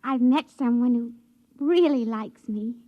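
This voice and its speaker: A woman's voice: on the high side, measured pace, dark tone. A woman acting as a shy, starry-eyed young assistant in a 1950s radio drama, hesitant and tender. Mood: happy, dreamy. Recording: thin phone-like vintage radio recording.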